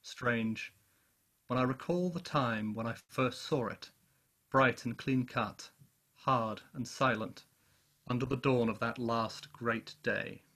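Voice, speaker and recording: A man playing a solemn professor who survived an invasion, narrating a radio play in measured, literary phrases. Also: mid-range voice, pushed and bright, exaggerated voice. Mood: reflective, solemn.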